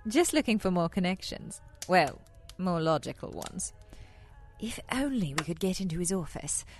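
A woman's voice: on the low side, big pitch swings, moderate pace, over music. An actress playing a poised, coolly clever female spy in a radio theatre drama, with an arch, inquisitive manner. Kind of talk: scripted radio theatre acting.

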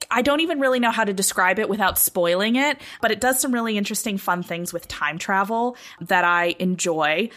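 A woman's voice: mid-range voice, a bit bright. A woman chatting casually as a podcast panelist, explaining her thoughts in a conversational way.

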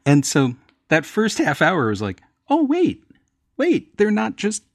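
Very expressive man, playwright guest. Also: high-pitched, a little slow. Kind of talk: casual chat.

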